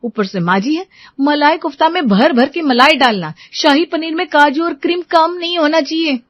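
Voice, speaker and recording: A woman's voice: high voice, lively intonation, moderate pace, no echo, dull audio, pitched above the performer's own voice. A woman voicing a strict, fed-up young daughter-in-law, firm and lecturing, in a family story. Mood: exasperated.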